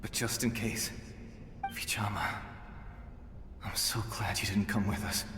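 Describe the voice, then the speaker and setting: An actor playing a wry, dying young warrior in a video game, weary and halting, with echoing flashback reverb. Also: male voice, fairly low pitch, steady tone. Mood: tender farewell. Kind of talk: dramatic video game acting. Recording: long echoing reverb over noisy ambience.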